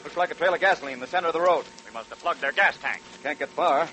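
An actor playing a commanding sheriff in a 1940s radio crime drama, calling out urgent, clipped orders. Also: male voice, fairly high pitch, rapid-fire, bright, forceful tone. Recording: noisy old 1940s radio recording.

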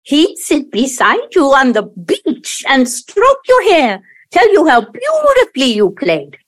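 A woman in an audio play acting an earnest, effusive younger daughter who smooths things over but bristles easily. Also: sits high, wildly swooping pitch, slow pace, heavily acted. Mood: wistful.

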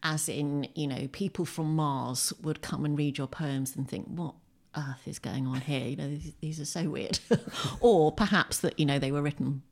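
Low-voiced British woman, wryly self-deprecating. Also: moderate pace. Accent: British English. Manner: wry, self-deprecating humour, chuckles while talking. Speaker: poet and short story writer, podcast guest. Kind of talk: conversational interview, reflective and anecdotal. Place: at home, indoors.

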